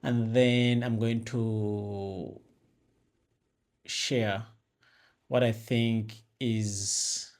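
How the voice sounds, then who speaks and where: A young man from Uganda with a Ugandan accent talks to his viewers in a relaxed, rambling way, full of fillers and restarts. His voice sits a little low. He records himself on an external microphone into a phone. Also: drawn-out words, pauses often. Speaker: vlogger host. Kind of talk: casual talk to camera. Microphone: external mic with phone camera.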